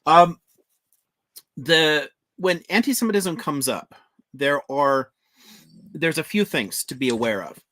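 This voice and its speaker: A man's voice: on the high side, expressive, takes it slowly, long pauses, a bit bright. A man hosting a live stream discussion, giving thoughtful advice with frequent restarts. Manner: thoughtful, restarts often, advising. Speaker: host.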